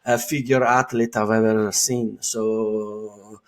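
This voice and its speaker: A man's voice: on the low side, little pitch movement, slow pace. Hesitant Italian-accented male host. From Italy. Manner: hesitant, with frequent fillers and restarts. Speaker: podcast host. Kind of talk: casual interview.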